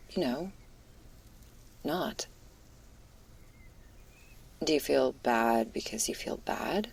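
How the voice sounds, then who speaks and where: A woman playing a laid-back, caring but anxious stoner werewolf in an intimate ASMR roleplay, speaking in casual slang with a hesitant, husky, breathy murmur that drifts into whispers. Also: extremely low pitch, heavily acted.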